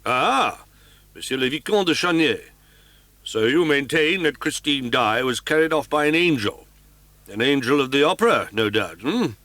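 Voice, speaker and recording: A man voicing a calm, skeptical male police commissary who speaks with dry amusement. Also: mid-range voice, normal speed, no room sound, voice pushed up. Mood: amused.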